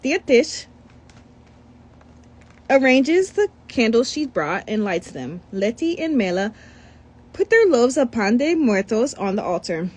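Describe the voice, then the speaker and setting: A woman's voice: a little high, a little slow, long pauses, slightly rough. A young woman reading a children's picture book aloud, breaking off for chatty asides. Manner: reads with lively asides and commentary. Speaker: storybook reader.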